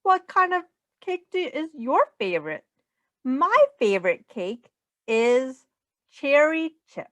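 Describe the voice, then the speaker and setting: Woman reading aloud to children, very slowly. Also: high-pitched, very animated, fairly bright tone, dry recording. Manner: sing-song, simple questions and explanations for young children. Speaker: teacher reading a picture book to children.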